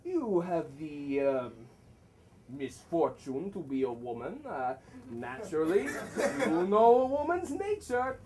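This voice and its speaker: A man acting out a boorish, hot-tempered gentleman in a comic stage play. He declaims slowly and sarcastically, swinging widely in pitch. The voice is caught by a distant microphone on stage. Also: very animated. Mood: scornful. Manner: declamatory, sarcastic, exaggerated, swinging from bellows to murmurs. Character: blustering, boorish, misogynist middle-aged man, mocking and hot-tempered. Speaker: student stage actor. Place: theatre stage. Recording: distant mic on a theatre stage.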